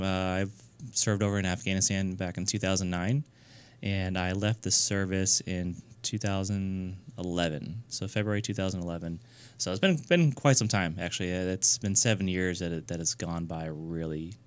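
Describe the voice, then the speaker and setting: Low-voiced man in his thirties. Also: clear, resonant tone. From New Hampshire, US. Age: 30s. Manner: earnest, halting, many fillers and restarts. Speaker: guest, nonprofit founder. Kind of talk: casual radio interview.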